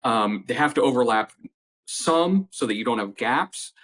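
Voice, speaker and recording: A man giving a conversational, measured technical explanation as a product expert in an interview, restating points for emphasis. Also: mid-range voice, animated, a bit bright, low-quality recording. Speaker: company representative, audio product expert.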